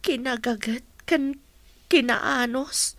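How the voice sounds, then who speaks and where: A woman's voice: fairly high pitch, slow pace, fairly bright tone, no echo. A woman with a Filipino accent playing a sulky, envious young daughter in a radio drama. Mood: questioning.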